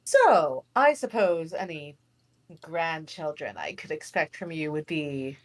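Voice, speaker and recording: A woman's voice: sits low, lively intonation, slow pace. A woman voicing a snobbish, irritable king and disapproving father in a comedic table read, haughty and scornful. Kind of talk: comedic table read of animated film script.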